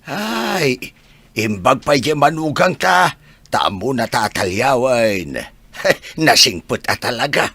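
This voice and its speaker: A man's voice: mid-range voice, big pitch swings, takes it slowly, bright, forceful tone, husky, dry recording, exaggerated voice. A man playing a blunt, wry elderly village grandfather in a radio drama, with an Ilocano accent. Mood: pleased. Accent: Ilocano, northern Philippines.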